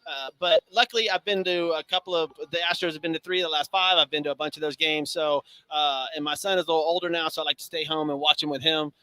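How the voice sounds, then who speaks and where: A man, co-hosting a casual sports talk show, laughing often and bantering quickly. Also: on the high side, fairly even intonation, bright, forceful tone, clear, resonant tone, no echo.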